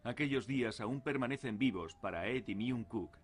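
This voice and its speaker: A male documentary narrator with a steady, measured delivery. He reads a scripted voice-over at an even, unhurried pace, sounding like a polished studio read. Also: medium pitch.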